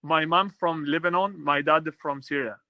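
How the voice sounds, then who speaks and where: A man born in Sweden, hosting a business discovery call at a brisk pace, with slightly non-native phrasing. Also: on the high side, fairly even intonation, pushed and bright, dry recording. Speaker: capital-raising advisor hosting a call.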